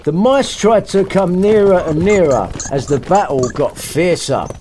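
Animated, slow-paced man reading with sarcasm. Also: high-pitched, background noise. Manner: irreverent, sarcastic, theatrical. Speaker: story reader and podcast host. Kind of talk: comic storybook narration with sweary asides.

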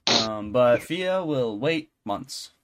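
A man's voice: medium pitch, expressive, very slow, a crowd in the background. A man co-hosting a podcast, chatting casually about entertainment news in a rambling, jokey, self-interrupting way. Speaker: podcast co-host.

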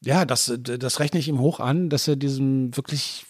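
A middle-aged man speaks as a podcast guest in a living room, at an ordinary mid pitch. He talks reflectively, circling his thoughts with frequent restarts, and the recording is close and dry. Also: moderate pace, darkish tone, breathy edge, no echo. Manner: reflective, thinks aloud with many restarts. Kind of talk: casual conversation.

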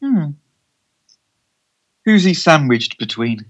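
A man's voice: a little high, very animated, takes it slowly, dry recording, dull audio. A British man co-hosting a podcast, chatting casually and hesitantly through quiz banter in a bemused, joking way. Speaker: podcast co-host.

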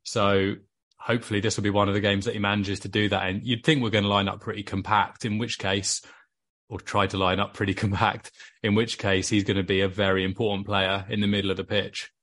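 Low-voiced Welsh man talking football. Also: level tone, a little fast, fairly bright tone. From Wales. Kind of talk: casual football chat.

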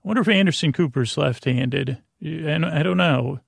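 Podcast host, American man in middle age, extremely creaky and a bit high-voiced, meandering and self-interrupting bedtime delivery, dry sound. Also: moderate pace, dry recording. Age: middle-aged. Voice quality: very creaky, gravelly. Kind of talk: rambling bedtime monologue to help listeners sleep.

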